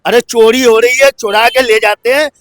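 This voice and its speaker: A man's voice: very high voice, fairly even intonation, moderate pace, a bit bright, no room sound. A man in a heated street argument, insistent and repetitive, firing rhetorical questions. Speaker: member of the public arguing in a street interview. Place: outdoors on a city street in Delhi.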